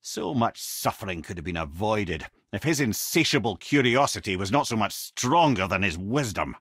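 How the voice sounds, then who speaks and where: An actor playing a wry old sage in a video game, an eloquent, theatrical storyteller with a Scottish accent. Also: male voice, fairly low pitch, very animated, normal speed, a bit bright, husky, no room sound, clean studio sound, full character voice. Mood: wry, knowing.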